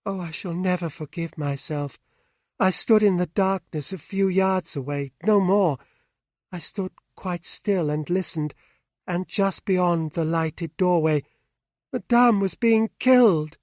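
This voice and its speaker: A distraught young woman pours out a fearful confession, as a male reader voices her. The voice is lifted higher than his own, slow and trembling with anguish. The sound is dry and close. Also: a little mellow, dry recording. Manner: anguished, pleading.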